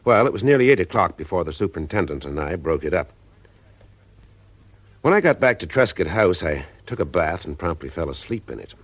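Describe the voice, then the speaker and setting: Low-pitched male private eye. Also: sing-song, a little fast, no room sound, exaggerated voice. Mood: wry narrating. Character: male private eye narrating his case, wry, sympathetic. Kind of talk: audio drama detective acting with first-person narration.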